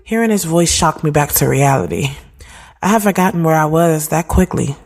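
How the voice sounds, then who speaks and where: A woman's voice: low voice, expressive, moderate pace. A woman playing a reflective, conflicted thirty-year-old who narrates her own audio drama in a candid, slangy, conversational way. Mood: smitten. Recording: music behind the voice. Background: music.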